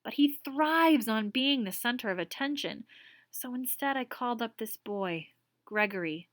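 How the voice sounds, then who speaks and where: A woman's voice: a little high, animated, moderate pace, fairly bright tone, dry recording, studio quality. A woman voicing a weary middle-aged female teacher who is distraught and pleading. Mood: distressed. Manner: pleading, emotional.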